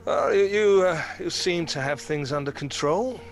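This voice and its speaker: A man's voice: high voice, lively intonation, moderate pace, creaky, faint music behind. A man playing a pompous, prickly beekeeper in an audio drama, pedantic and condescending. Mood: huffy. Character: pompous, prickly male beekeeping club member. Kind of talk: naturalistic audio drama acting.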